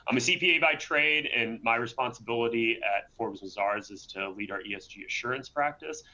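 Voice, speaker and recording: A man's voice: mid-range voice, brisk, sharp tone, muffled recording. A man hosting and presenting a webinar in a conversational way, often tagging on "right?". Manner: conversational, frequent "right?" tags.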